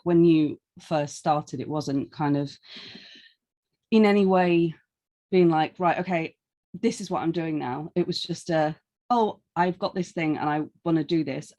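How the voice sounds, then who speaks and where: A woman's voice: low-pitched, mellow. A woman co-hosting a podcast, chatting playfully and reading out listener questions. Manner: playful, quick to laugh, reads questions aloud. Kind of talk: casual conversational chat.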